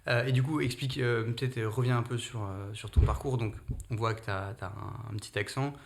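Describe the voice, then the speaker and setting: Male interviewer, low gravelly voice, loose hesitant questions. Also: fairly even intonation, fairly quick. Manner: informal, hesitant, many fillers. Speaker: interviewer for the prep school.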